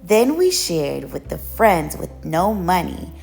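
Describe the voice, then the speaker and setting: A woman reading a picture book aloud as a storyteller, with expressive, measured narration. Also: on the low side, creaky, soft background music. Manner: measured storytelling, acts out children's quoted calls.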